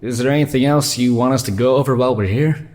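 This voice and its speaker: A man's voice: medium pitch, fast, darkish tone, lightly acted. A man playing a terse, matter-of-fact amateur stage actor in audio drama dialogue. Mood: casual, curious.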